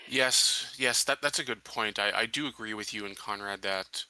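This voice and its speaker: A man with a lowish, very bright and tense voice negotiates as a player in a roleplaying game. He speaks deliberately, with halting phrasing. The recording is close and dry. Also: smooth, dry recording, low-quality recording. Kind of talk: in-character negotiation, conversational.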